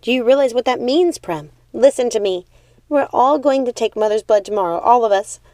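A woman voicing a calm, resolute eldest witch sister in a storybook, speaking firmly and deliberately. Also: a little high, no echo, clean studio sound, pitched above the performer's own voice, lightly acted.

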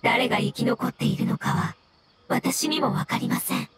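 A woman voicing a sorrowful, courteous floating fungus creature in a video game, speaking formally, humbly and haltingly. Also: a little high, slightly rough. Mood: sorrowful, moved.

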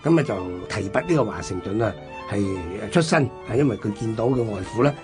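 A man's voice: on the low side, animated, fairly quick, music in the background. A man with a Hong Kong Cantonese accent, telling history conversationally as a radio co-host.

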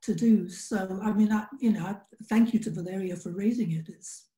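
A woman's voice: medium pitch, normal speed, warm tone. A woman panelist in an online seminar, speaking emphatically and with enthusiasm about an academic point, heard over a video call. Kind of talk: academic discussion. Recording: echoey room on video call.

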